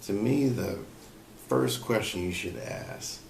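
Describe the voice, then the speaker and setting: A man's voice: lowish voice, slow pace, vocal fry. A man hosting a solo talk show, giving relationship advice off the cuff and thinking aloud with frequent fillers. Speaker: podcast host. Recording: echoey room with faint ambient noise.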